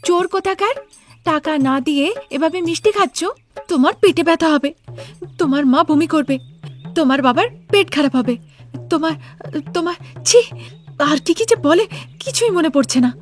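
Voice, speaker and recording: A woman's voice: high-pitched, animated, normal speed, long pauses, pitched above the performer's own voice. A woman voicing a stingy, scolding young daughter-in-law who sells sweets in a cartoon story, speaking emphatically. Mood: indignant scolding. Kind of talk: cartoon story character acting. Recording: music behind the voice.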